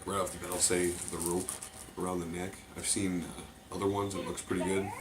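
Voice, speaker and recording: A man's voice: sits low, flat delivery, moderate pace. A man with a cold, sounding stuffed up, chatting casually through a mask unboxing with lots of fillers. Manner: offhand, rambling, many fillers. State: congested from a cold. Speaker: mask collector reviewing a mask. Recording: loud music playing behind the voice. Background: loud music from a movie playing.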